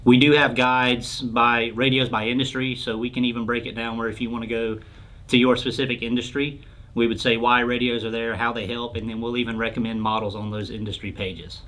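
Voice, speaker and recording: A man's voice: mid-range voice, little pitch movement, fairly quick, fairly bright tone, rough recording. A man answering questions as a sales expert in an informal explainer interview, speaking in a plain, explanatory way.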